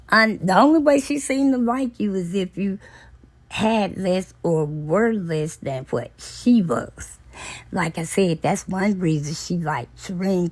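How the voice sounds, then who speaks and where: A woman hosting a book channel, retelling a novel's plot in a relaxed, storytelling way, her voice raspy and hoarse from talking a long time. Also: low voice, dry recording. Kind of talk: casual book recap.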